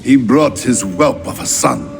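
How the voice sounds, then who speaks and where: An actor playing a bitter, scornful islander who tells a war story in a measured, dramatic way for a video game. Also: male voice, mid-range voice, gravelly, noisy background. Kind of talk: scripted dramatic narration. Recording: music behind the voice.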